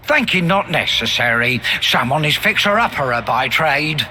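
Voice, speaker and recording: A man's voice: fairly high pitch, lively intonation, moderate pace, pushed and bright, slight background noise. A man voicing a small, proud creature shipwright in a video game, speaking in clipped, broken grammar about himself in the third person. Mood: proud, matter-of-fact. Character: small proud non-human shipwright creature, earnest craftsman. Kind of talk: video game cutscene acting.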